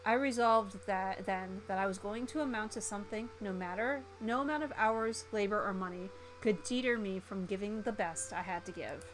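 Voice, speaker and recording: A woman voicing a proud, earnest gentleman chef with big dreams in a visual novel. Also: mid-range voice, moderate pace, hardly any pauses, slight background noise, lightly acted. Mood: determined.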